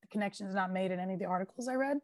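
A woman's voice: mid-range voice, racing, no echo, studio quality. A woman hosting a podcast, casually retelling a true-crime case with emphatic, filler-heavy talk. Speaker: podcast host.

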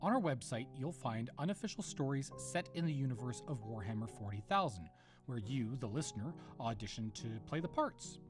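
A man's voice: medium pitch, expressive, brisk. A man hosting an audio drama production, giving a friendly scripted outro with thanks and credits. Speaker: producer and show host. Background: guitar music.

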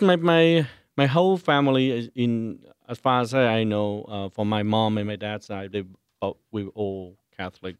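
A man from Vietnam with a Vietnamese accent, a priest answering interview questions haltingly, searching for words. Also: lowish voice, clear, resonant tone, no echo. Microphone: studio microphone.

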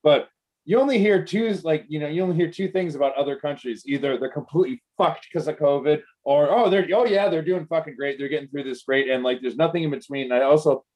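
A man's voice: a little high, fairly quick, darkish tone. A man co-hosting a podcast, interviewing casually in a rambling, slangy, sweary way. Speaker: podcast co-host.

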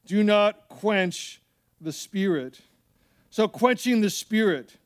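A man preaching as a pastor in an animated, conversational way, repeating phrases for emphasis and telling humorous personal stories. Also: sits high, slow. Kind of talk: sermon with personal anecdotes and acted-out dialogue.

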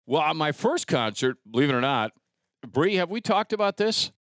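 A man's voice: on the high side, sing-song, moderate pace, fairly bright tone, no room sound, clean studio sound. A middle-aged American man hosting a casual chat, reminiscing in a rambling, conversational way. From rural United States. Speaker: podcast host.

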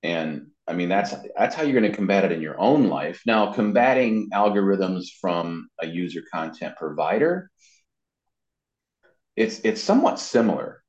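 A man's voice: deep voice, big pitch swings, moderate pace, a little mellow. A man giving casual explanations as an interview guest, often repeating words as he goes. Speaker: interview guest, web marketing consultant. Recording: roomy echo, dull muffled sound.

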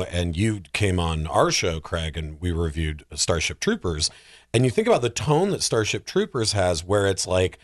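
Male podcast guest, lower-pitched, lively swings in intonation, slangy casual film talk, close dry sound. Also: no echo. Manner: animated, slangy.

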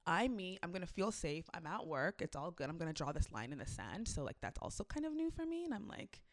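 A young woman who DJs, chatting casually and telling a story with lively shifts, acting out what people said. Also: lowish voice, fairly quick. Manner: animated storytelling, acts out quotes. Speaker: club DJ. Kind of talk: casual conversation.

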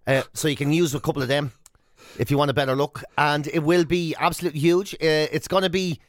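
A man's voice: on the high side, fairly even intonation, fast, dry recording, studio quality. An Irish man co-hosting a radio show with casual comedic banter, rambling, self-deprecating and free with swearing.

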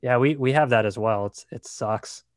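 A man's voice: medium pitch, normal speed, no echo, clean studio sound. A man hosting a podcast, chatting casually and rambling a little, with lots of fillers. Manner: relaxed, rambling, many fillers like "like" and "uh". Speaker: podcast host.

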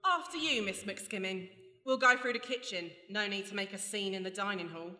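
A woman's voice: medium pitch, moderate pace, bright, forceful tone, natural voice. A woman playing a ruthless, cocky criminal mastermind in a radio play, breezy and mocking. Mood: gleeful scheming. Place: stage before a live audience. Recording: echoey live hall.

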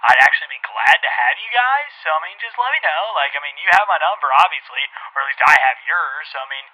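Casting: actor as a slangy, rambling realtor, slightly high voice, dull phone sound. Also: male voice, animated, fast talker, bright, forceful tone, full character voice. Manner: rambling, full of "like" and "uh". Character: young male realtor, laid-back and rambling.

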